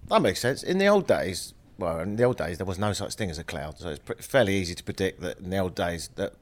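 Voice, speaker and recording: A man's voice: low-pitched, lively intonation, fast talker. A man hosting a casual interview, sounding a bit stuffed up with a cold. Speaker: podcast host, interviewer.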